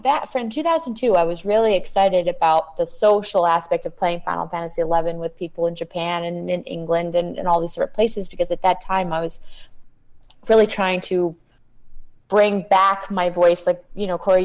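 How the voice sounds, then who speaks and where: A woman in her thirties, a guest on a panel chat, talking earnestly with halting starts and repeated words. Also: lowish voice, a little mellow, rough recording.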